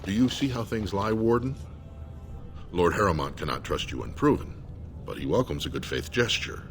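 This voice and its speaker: A distrustful aide to a noble house in a fantasy game. His voice is low, his delivery curt, formal and commanding. Soft music plays underneath. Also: animated, normal speed. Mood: wary, formal. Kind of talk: dramatic video game dialogue.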